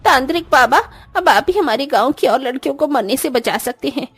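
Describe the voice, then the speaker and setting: A woman's voice: sits high, lively intonation, a little fast, fairly bright tone, no room sound. A woman voicing a grieving, determined village widow in a cartoon story, imploring and resolute.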